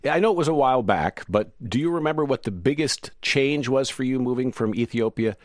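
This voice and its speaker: A man's voice: mid-range voice, fairly quick, no echo, clean studio sound. A man hosting an interview who asks curious questions and affirms often with short acknowledgements. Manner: curious, affirming with frequent mm-hmms. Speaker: interview host. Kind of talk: radio-style interview.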